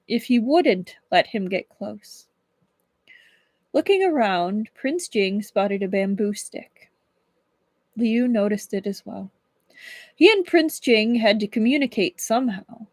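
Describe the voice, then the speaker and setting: Higher-pitched woman reading in a dry space. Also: darkish tone, no echo. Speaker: livestream book reader. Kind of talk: expressive storybook narration with casual chat.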